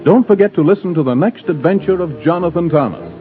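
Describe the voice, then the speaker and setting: Muffled old radio sound with faint music behind a male announcer. He speaks in a fairly high, animated voice, posing dramatic cliffhanger questions in vintage serial style. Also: quick pace.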